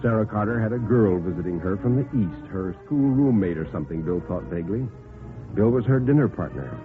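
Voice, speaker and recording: A man's voice: lowish voice, expressive, brisk. An American man narrating a scripted horror story in the style of 1950s radio drama. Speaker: narrator of a radio horror play, performing every part. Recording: vintage radio recording, phone-like band, music behind.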